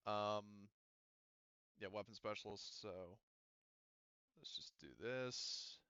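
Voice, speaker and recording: A man acting as game master for a tabletop roleplaying game, talking casually and haltingly as he works through rules and narrates combat. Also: fairly low pitch, sharp tone, smooth, no room sound, dull audio. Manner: casual, halting delivery while working out rules.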